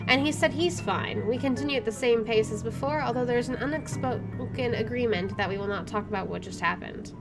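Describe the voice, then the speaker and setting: Higher-pitched woman reading a game aloud. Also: brisk, few pauses. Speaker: gaming video host. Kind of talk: let's play, reading narration aloud with casual asides. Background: game music.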